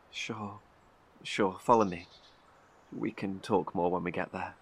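Man acting a low-voiced, wary, bitter survivor. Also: steady tone, slow, breathy edge, exaggerated voice. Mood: weary, defensive. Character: wary, guilt-ridden male survivor, bitter and defensive. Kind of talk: audio drama dialogue.

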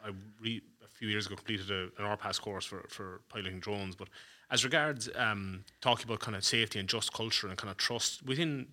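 A man's voice: on the low side, brisk, bright, forceful tone. A man with an Irish accent hosting a podcast, asking informal questions in a rambling, hesitant way. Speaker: podcast host. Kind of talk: informal interviewing.

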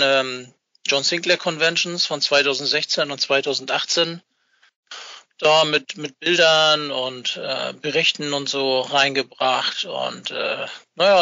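A man's voice: a little high, sharp tone, no echo. A man giving a casual, rambling interview in colloquial language over a lo-fi internet call. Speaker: interview guest, fan club founder. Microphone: Skype call.

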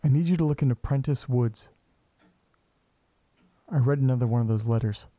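Man acting mellow, hesitant amateur investigator. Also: mid-range voice, moderate pace, dry recording, natural voice. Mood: intent, uneasy. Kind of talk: naturalistic audio drama acting.

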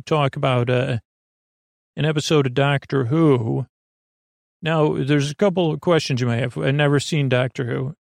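Male sleep-podcast host: gravelly, soft, meandering and halting, very dry close sound. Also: mid-range voice, dry recording. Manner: meandering, halting, circles back and loses his thread; gentle, soft delivery. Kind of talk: rambling, soothing bedtime monologue.

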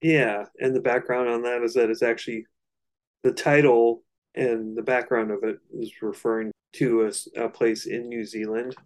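A man's voice: medium pitch, flat delivery, moderate pace, low-quality recording. A man co-hosting a casual album discussion, explaining things in a loose, slightly hesitant way. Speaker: podcast co-host.

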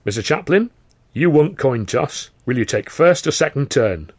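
A man's voice: medium pitch, very animated, moderate pace, a little mellow, no room sound, pitched above the performer's own voice. A man voicing an imperious older theatre manager who is a born showman, theatrical and commanding.

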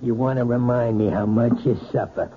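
An actor playing a domineering, bitter husband who runs a trucking company, in a 1970s radio drama. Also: male voice, medium pitch, brisk, close to an everyday voice. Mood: bitter, bullying. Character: bullying trucking boss husband, man, middle-aged. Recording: dull old radio broadcast with faint hiss.

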